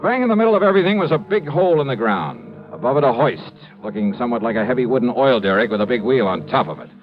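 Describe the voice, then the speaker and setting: An American actor playing a brisk, wry male investigator in a 1950s radio detective drama, with clipped, matter-of-fact delivery. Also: mid-range voice, sing-song, lightly acted. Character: brisk, wry male insurance investigator telling his case. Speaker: lead actor and narrator. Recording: muffled old radio recording with music.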